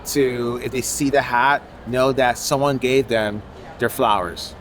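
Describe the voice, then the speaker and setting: A man's voice: medium pitch, fairly even intonation, unhurried, fairly bright tone, a little hiss or hum. A man from Haiti, an artist, talking loosely in an interview at an outdoor art fair. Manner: loose and rambling, leaning on filler phrases. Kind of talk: casual interview.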